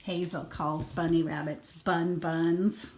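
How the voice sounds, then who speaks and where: Slow-paced grandmother reading a storybook. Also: on the low side, level tone, a little hiss or hum. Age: older. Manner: gentle explaining to a small child, murmured asides. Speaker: grandmother reading a picture book aloud. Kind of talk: children's story read-aloud with chatty asides.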